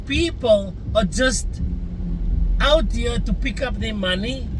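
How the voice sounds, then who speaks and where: A South African man whose first language is Afrikaans talks earnestly and chattily to his viewers, calling them guys. His voice sits very high for a man. Clear traffic noise runs behind him. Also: lively intonation, slow pace. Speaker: vlogger. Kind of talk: casual opinion rant to camera.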